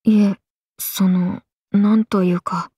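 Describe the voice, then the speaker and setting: A woman voicing an earnest, airheaded, stoic young swordswoman in an anime game, speaking in a plain deadpan way. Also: mid-range voice, takes it slowly, husky, dry recording, clean studio sound. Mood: shy admiration. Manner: deadpan, plain, slightly blunt. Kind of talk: anime game character voice acting.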